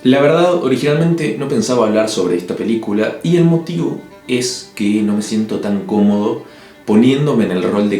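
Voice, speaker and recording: Un hombre de Uruguay con acento del Río de la Plata, que narra un ensayo en video con opiniones firmes y toques de humor seco. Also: male voice, on the low side. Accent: Río de la Plata Spanish. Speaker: video essay host. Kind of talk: scripted narration. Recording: echoey room with soft music.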